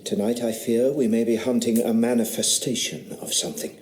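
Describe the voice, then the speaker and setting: An actor playing an earnest eighteenth-century squire with a taste for experiments, speaking in a formal, courteous way. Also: male voice, mid-range voice, little pitch movement, fairly quick, darkish tone, roomy sound, natural voice. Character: earnest male country squire, amateur scientist. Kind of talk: radio drama acting.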